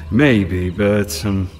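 An amiable resistance fighter in a video game. He is very slow and halting, with a lowish voice that swings up and down. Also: full character voice. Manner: halting, with chuckles. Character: hesitant, smitten, amiable male Italian partisan.

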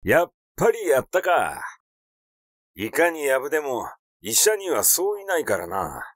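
A man's voice: medium pitch, wildly swooping pitch, unhurried, no echo, clean studio sound. A man voicing a cynical, blunt and grumbling male character in a mystery audiobook. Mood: sardonic.

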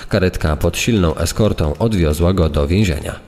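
A man's voice: deep voice, moderate pace, darkish tone. A man reading a scripted true-crime documentary text as a narrator. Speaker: second narrator. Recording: reverberant hall effect.

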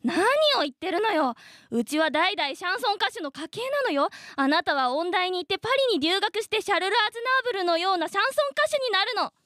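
A woman's voice: very high voice, big pitch swings, quick pace, few pauses, fairly bright tone, dry recording, studio quality, heavily acted. A woman playing an earnest, pushy mother of a student in a comedic radio drama, polite but insistent.

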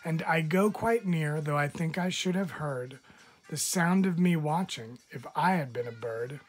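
A man, a teacher, reading children's animal poems aloud in a measured, expressive storytelling manner. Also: a little high, breathy edge, rough recording.

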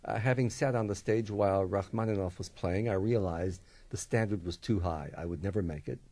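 A man in his sixties whose first language is Russian, a composer recalling his early years in a radio interview, measured and wry with many hesitations. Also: low-pitched, a little mellow. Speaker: composer guest.

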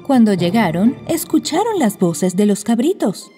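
A woman's voice: a little high, big pitch swings, normal speed, big-room sound, loud music behind the voice. A woman narrating a children's bedtime fairy tale from a script.

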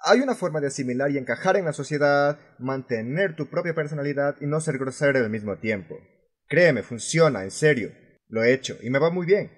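A man's voice: a little high, lively intonation, a little fast, husky. A man voicing an angry, foul-mouthed expat vlogger in Latin American Spanish, sarcastic, emphatic and confrontational, in the style of a fan dub. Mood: explaining. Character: furious, foul-mouthed male expat vlogger ranting about rude tourists.

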